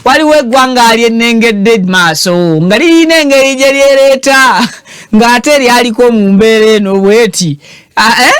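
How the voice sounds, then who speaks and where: A Ugandan man with a high, bright-pitched voice delivers teasing, animated political commentary as a show host. He switches languages mid-sentence. The sound is dry and close. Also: normal speed, clean tone, no echo.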